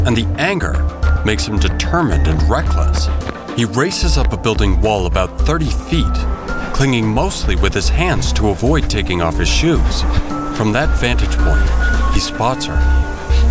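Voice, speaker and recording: A man narrating an audio drama from a script in a dramatic style. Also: fairly low pitch.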